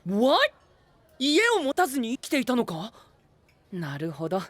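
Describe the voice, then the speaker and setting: A man playing an earnest, gentlemanly young knight with formal wording and English exclamations in an anime-style audio drama. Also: extremely high pitch, sing-song, measured pace, dry recording, full character voice. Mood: concerned, impressed.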